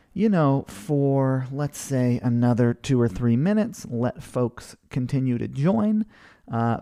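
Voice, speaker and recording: A man from Hawaii in his thirties hosts a live online session, reading out viewer comments in a loose, rambling way. His voice is gravelly with a dark, mellow tone, and he talks over a computer microphone. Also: medium pitch. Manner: rambling, chatty, many fillers and restarts. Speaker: webinar host.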